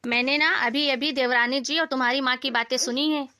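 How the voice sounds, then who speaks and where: Woman playing a high, sharp, resentful daughter-in-law. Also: fairly even intonation, brisk, smooth. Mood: angry, indignant. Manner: complaining, confrontational. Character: resentful, outspoken elder daughter-in-law, a mother. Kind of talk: animated Hindi family story dialogue.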